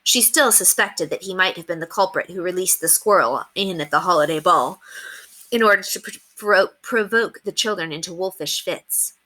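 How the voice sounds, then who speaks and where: Female storyteller, expressive read-aloud, bright tone, slightly low pitch, children's book narration. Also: moderate pace, rough recording.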